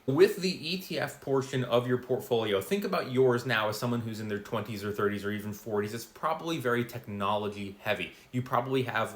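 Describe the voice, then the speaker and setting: A man in his forties talks straight to camera in a relaxed, chatty way, tossing in offhand asides as he explains. His mid-pitched voice rings in a noticeably roomy space. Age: 40s. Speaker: finance video host. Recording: roomy echo.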